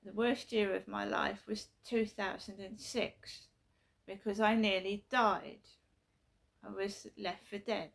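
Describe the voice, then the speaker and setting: Gravelly middle-aged woman. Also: medium pitch, fairly even intonation, takes it slowly. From South London, England. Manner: repetitive, emphatic, earnest storytelling. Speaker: vlogger. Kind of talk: casual personal vlog. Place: her flat at night.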